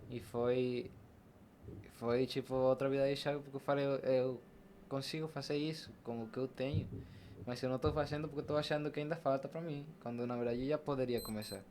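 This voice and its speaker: A man's voice: mid-range voice, steady tone, fairly quick, a little mellow, slight background noise. A young adult man with a Brazilian Portuguese accent, a podcast guest telling personal stories casually and acting out what was said. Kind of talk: casual personal storytelling in an interview.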